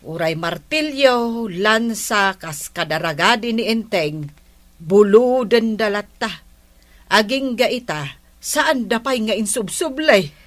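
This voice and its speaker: Gossipy ilocano neighbour, indignant and scolding, mid voice, radio comedy. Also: female voice, mid-range voice, expressive, takes it slowly, fairly bright tone. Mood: gossiping. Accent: Filipino, Ilocano.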